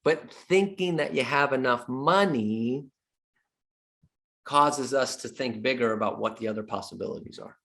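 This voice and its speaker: A man's voice: medium pitch, moderate pace, gravelly, pushed and bright. A middle-aged man giving a sales training talk as a real estate coach, emphatic, repeating his key points and joking with the audience.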